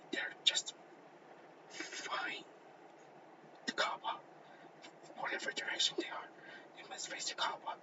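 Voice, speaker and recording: Guy who lost his voice whispering through a school slideshow, stops and restarts a lot, sounds muffled and a bit far off. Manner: halting, with restarts. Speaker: student presenter. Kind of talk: class presentation over slides.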